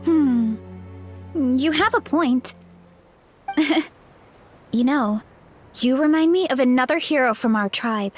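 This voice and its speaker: A woman's voice: high-pitched, slow, pauses often, faint music behind. A woman voicing a cheerful, friendly young woman of a tribe who is a bit nervous about change. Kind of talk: game voice acting.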